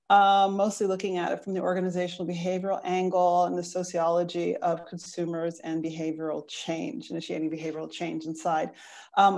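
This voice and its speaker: A woman in her forties from Chicago, a business school lecturer, introducing herself on a webinar panel in an animated way, with many ums. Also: medium pitch. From Chicago, USA. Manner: animated, talks with many fillers. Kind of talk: panel introduction on video call. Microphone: earphone mic on video call.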